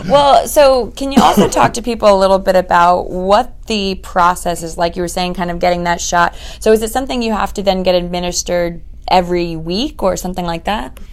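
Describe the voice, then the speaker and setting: A slightly hoarse woman interviewing a doctor in a friendly, chatty way. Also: fairly low pitch, brisk, few pauses. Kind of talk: interview questions.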